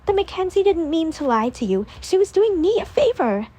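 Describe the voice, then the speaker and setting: A woman voicing a brash, dismissive young woman who talks fast and flippantly. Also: extremely high pitch, sing-song, voice pushed up. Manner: sharp, flippant, quick.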